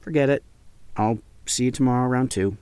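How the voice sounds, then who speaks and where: An actor playing an earnest, gently teasing American film student in his early twenties, talking casually in an audio drama. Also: mid-range voice, fairly quick, a little hiss or hum, natural voice. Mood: reluctant, annoyed. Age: early 20s.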